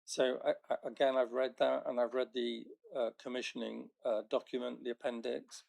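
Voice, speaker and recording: Male councillor with a gravelly voice and a halting, restart-heavy way of questioning. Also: mid-range voice, flat delivery, darkish tone. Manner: halting, many restarts and fillers. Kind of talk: questioning at a council committee meeting. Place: council meeting chamber.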